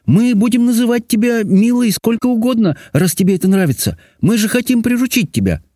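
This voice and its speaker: A man plays a young storybook princess in a raised, high-pitched voice. She sounds gentle and timid, cooing sweetly with swooping intonation. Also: lively intonation, brisk, long reverb tail. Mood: tender. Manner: soft, tender, cooing.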